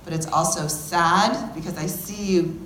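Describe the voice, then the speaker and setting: A woman's voice: fairly low pitch, steady tone, a little slow, a bit bright. A woman speaking as a first grade teacher, addressing children and parents at a school event. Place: echoey room at a school event. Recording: roomy, noisy classroom event recording.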